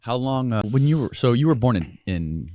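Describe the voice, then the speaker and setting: A man's voice: mid-range voice, lively intonation, normal speed, a little mellow. A middle-aged man interviewing in a casual, conversational way, with brief, attentive responses. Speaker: podcast interviewer.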